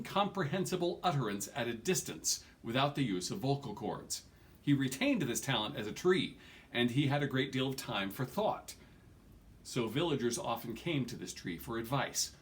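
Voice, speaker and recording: A man in his forties reading a fantasy novel aloud with dramatic emphasis and the odd stumble, recorded at a desk at home. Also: medium pitch. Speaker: reader of an audiobook. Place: small furnished room at home, indoors.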